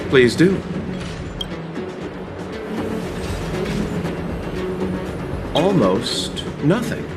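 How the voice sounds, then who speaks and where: An actor playing a courteous, composed wandering merchant in a video game, speaking formally and politely. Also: male voice, sits low.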